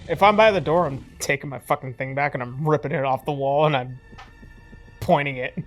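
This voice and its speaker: A woman's voice: rumbling low, brisk. A woman playing a tabletop role-playing game, casually describing her moves in a blunt, sweary way. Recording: background music behind the voice. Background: music.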